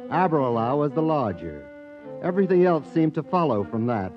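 A male narrator reads scripted linking passages for a vintage radio drama. His pitch moves expressively. Music plays clearly behind him and the recording is dull. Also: big pitch swings, normal speed.

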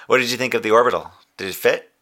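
An actor playing a middle-aged, conscientious moonbase communications officer in a sci-fi audio drama, with a gravelly, wry, weary delivery. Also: male voice, medium pitch, very fast, sharp tone. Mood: tender, wistful. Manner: formal reporting with dry sarcasm and tenderness. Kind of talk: audio drama monologue as a radio report.

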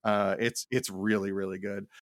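A man chatting casually as a podcast co-host, rambling and haltingly repeating words as he thinks aloud. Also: lowish voice, flat delivery, dry recording, studio quality. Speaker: podcast co-host, art professor.

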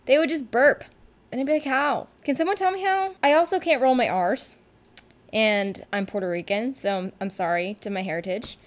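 A woman's voice: fairly high pitch, normal speed. A woman hosting her own solo podcast from her couch at home, talking in a chatty, rambling, candid way and often poking fun at herself. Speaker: podcast host and rock musician. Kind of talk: casual solo podcast monologue.

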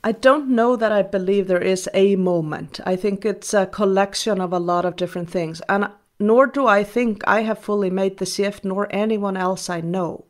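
A woman talks through her answers in a steady, mid-pitched voice. She is earnest and measured, pausing on ums and starting over now and then. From Iceland. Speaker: guest. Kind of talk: reflective interview answers.

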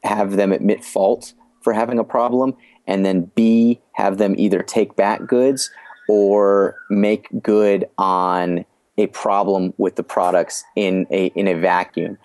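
A man with a very low voice talks through his business experience as an interview guest. He is measured and explanatory and often restarts a phrase before he finishes his point. The recording is dry and close. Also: steady tone, a little mellow, no echo. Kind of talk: podcast interview storytelling.

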